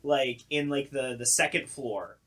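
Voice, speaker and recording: A man's voice: mid-range voice, measured pace, a bit bright. A young man with a mid-range voice talks as a podcast co-host. He tells stories in loose, conversational language. He slips into acted-out reactions as he goes. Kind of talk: casual storytelling chat.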